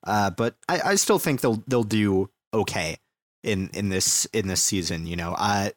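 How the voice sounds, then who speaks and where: A young man with a fairly low voice co-hosts a casual soccer chat. He speaks hesitantly, stammering and filling his pauses. The recording is dry and close. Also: no echo. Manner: hesitant, stammering, filler-heavy. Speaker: podcast co-host.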